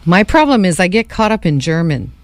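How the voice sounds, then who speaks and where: A woman from Hawaii with a local lilt, hosting a casual radio word-of-the-day segment and spelling words out slowly. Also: lowish voice, big pitch swings, no echo. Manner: chatty, explains words slowly and spells them out. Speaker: radio host.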